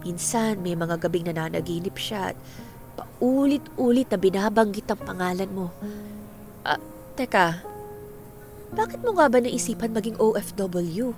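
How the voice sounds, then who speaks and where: A woman acting in a Filipino radio drama as a chatty, teasing married best friend with an expressive, gossipy manner. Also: mid-range voice, measured pace, long pauses, soft background music. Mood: sympathetic.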